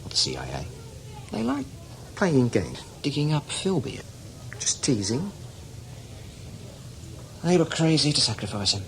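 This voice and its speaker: An actor playing a seasoned, cynical older British spy in a radio drama, talking in a wry, knowing, emphatic way. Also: male voice, medium pitch, huge pitch swings, a little slow, creaky, long pauses, fairly bright tone, a little hiss or hum, full character voice, rough recording. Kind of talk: radio drama acting, conversational.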